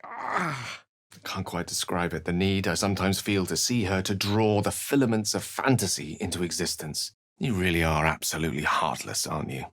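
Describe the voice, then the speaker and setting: An actor playing an eloquent, wry wizard companion in a fantasy video game, with articulate, theatrical phrasing. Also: male voice, low voice, animated, moderate pace, a bit bright, dry recording, studio quality, heavily acted. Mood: uneasy, earnest. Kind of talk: fantasy game dialogue and narration.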